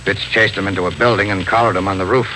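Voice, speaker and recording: A detective lieutenant in a 1950s radio play. His voice is fairly low and he talks quickly, terse and practical. The old recording is clearly noisy. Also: steady tone, pushed and bright. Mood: businesslike. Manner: plain, clipped, businesslike. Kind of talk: 1950s radio drama acting.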